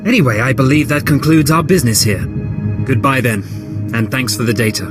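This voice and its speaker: An actor playing a businesslike male Imperial functionary in a video game, brisk and officious. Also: mid-range voice, music in the background, close to an everyday voice. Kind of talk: game cutscene dialogue acting.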